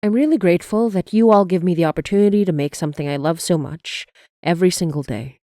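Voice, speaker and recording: A woman's voice: lowish voice, fast, dark tone, dry recording, clean studio sound. A woman hosting a podcast, reading scripted announcements and thanks to listeners in an enthusiastic manner. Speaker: podcast creator and host.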